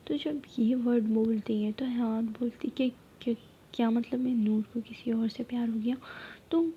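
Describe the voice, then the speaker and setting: A woman retelling a story in a soft, steady whisper. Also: on the high side, muffled recording, a little hiss or hum.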